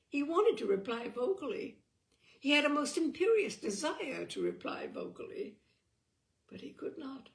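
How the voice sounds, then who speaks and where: A woman reading a literary story aloud as narrator, expressively, with theatrical, deliberate phrasing and dramatic pauses. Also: a little high, creaky. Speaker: audiobook reader and storyteller.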